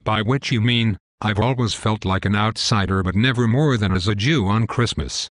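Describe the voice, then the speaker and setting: A text-to-speech male voice playing a bickering middle-aged husband in an animated comedy, with a flat, sarcastic delivery. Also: lowish voice, normal speed, dry recording.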